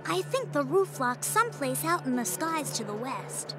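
Woman voicing a high-pitched little boy fox sidekick. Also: sing-song, normal speed. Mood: earnest. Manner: explanatory, earnest. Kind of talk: video game cutscene acting. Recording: music under the voice.

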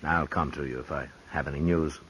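A gruff, laconic frontier lawman in an old radio western. The American voice is low and husky, steady and full of authority. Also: brisk, full character voice. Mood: dry, businesslike. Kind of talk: 1950s radio western acting. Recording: 1950s radio drama recording.

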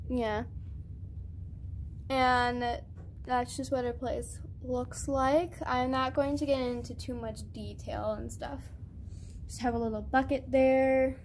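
A young woman giving a casual, chatty show-and-tell tour of her toy barn setup with a handheld phone camera, pausing on fillers. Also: high-pitched, slight background noise. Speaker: channel host.

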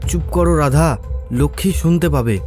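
Male actor as poor fisherman father, slightly high male voice, desperate, humble, earnest, horror cartoon, music bed. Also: moderate pace, a little mellow, natural voice. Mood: desperate resolve. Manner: earnest, pleading. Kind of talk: animated horror story acting.

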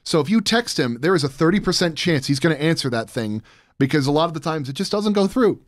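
A man's voice: a little high, lively intonation, fast, no echo, studio quality. A man in his thirties chatting as an interview guest, rambling and self-deprecating. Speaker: podcast guest, sound designer and voice artist. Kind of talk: casual interview chat. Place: small podcast studio. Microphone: close studio microphone on arm.

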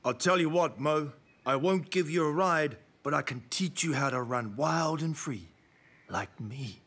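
A man's voice: on the high side, a little slow, fairly bright tone, slightly rough, full character voice. A man voicing a cool, self-important wild horse in a preschool cartoon. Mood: smug. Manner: laid-back, self-important, theatrical. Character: cool, smug, self-styled wild stallion.

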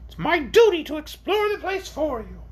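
Very high-pitched, self-important creature. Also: big pitch swings, normal speed. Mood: brave but uneasy. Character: vain, chatty flying orc creature, nervously brave.

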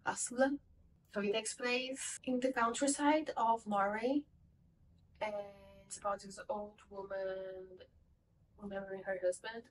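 A woman's voice: mid-range voice, steady tone, unhurried, far from the mic, a little hiss or hum, rough recording. A young woman whose first language is Portuguese, casually reviewing a book at home in a rambling, self-correcting way, from a camera set a little away. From Portuguese-speaking country. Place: home room with bookshelves.

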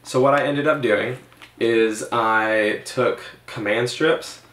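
A young man in his twenties talks casually to camera in a roomy, echoey indoor space. His pitch is middling and his delivery is laid-back and a little halting, like a motorcycle vlogger reviewing gear. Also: a bit bright. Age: 20s. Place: small bedroom, indoors.

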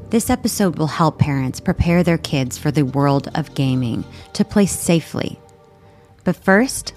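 A woman hosting a podcast, reading scripted narration in an earnest, measured way. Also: deep voice, darkish tone. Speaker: podcast host. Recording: music bed underneath.

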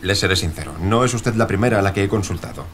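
Courteous, low-voiced gentleman publisher. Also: fast, a little hiss or hum. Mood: persuasive, intrigued. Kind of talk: audio drama acting.

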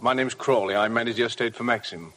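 An actor playing a polite, busy English estate manager in a 1950s radio drama. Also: male voice, medium pitch, fairly even intonation, quick pace, pushed and bright, slight background noise, close to an everyday voice. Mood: courteous, brisk.

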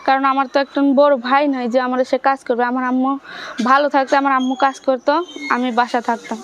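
A woman's voice: high-pitched, level tone, quick pace, slight background noise. A young woman with a Bangladeshi accent answering informally in an interview about her own life, often checking that the listener follows. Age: young adult. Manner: repeatedly checks listener understands. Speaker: interview guest, domestic worker.

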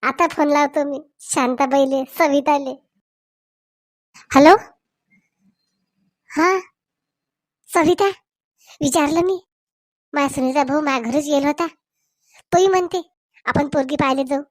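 A woman's voice: high-pitched, unhurried, long pauses, no room sound, studio quality. A woman voicing chatty, meddling village women in a Marathi comedy cartoon, with a rural Varhadi accent and colloquial, exclamatory talk. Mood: excited. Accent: rural Maharashtra, Varhadi Marathi.

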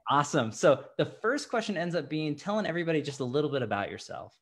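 A man's voice: on the high side, sing-song, fast talker, a bit bright. A young man hosting an interview podcast over a video call, upbeat and effusive, often repeating himself. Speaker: podcast host. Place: home office, indoors. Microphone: studio mic with headset, video call.

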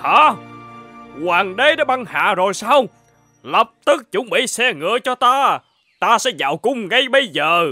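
An actor playing a pompous, excitable middle-aged male court official in a folk-tale cartoon, blustering and exclaiming. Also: sits high, a little slow, no room sound, faint music behind, heavily acted. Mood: alarmed.

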